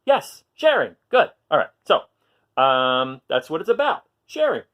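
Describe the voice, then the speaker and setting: A man reading aloud to young children, expressive, with chatty asides and questions put to his listeners. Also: a little high, a little slow. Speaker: children's storytime reader.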